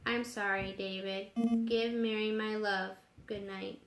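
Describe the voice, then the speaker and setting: A woman voicing an earnest, bookish young man with a deliberate manner in a story. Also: medium pitch, level tone, a bit bright, reverberant, faint music behind. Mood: grieved, curt.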